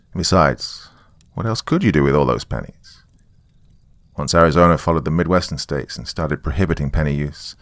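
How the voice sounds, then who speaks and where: A very low, creaky male voice, recorded dry and close. A man acts out a jaded, middle-aged small-town narrator who confides his horror story in a conversational, first-person way. His delivery is sardonic and matter-of-fact, full of dry asides. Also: dry recording.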